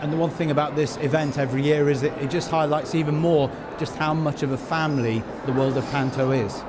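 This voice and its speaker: A man's voice: a little high, fairly even intonation, a little fast, crowd noise. A man hosting a podcast, chatting casually as he interviews guests at a busy event venue. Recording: noisy busy venue ambience.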